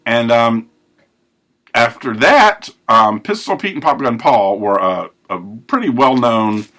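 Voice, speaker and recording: A man hosting a recovery podcast, telling his life story in a rambling, self-correcting way with a big, loud voice. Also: mid-range voice, expressive, slow pace. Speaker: podcast host. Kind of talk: personal recovery monologue.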